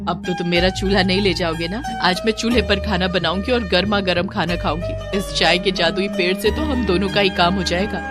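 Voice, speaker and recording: A woman's voice: medium pitch, steady tone, brisk, hardly any pauses, music in the background, lightly acted. A woman voicing the grumbling, exasperated wife of a village tea seller in a story, scolding and emphatic. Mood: eager.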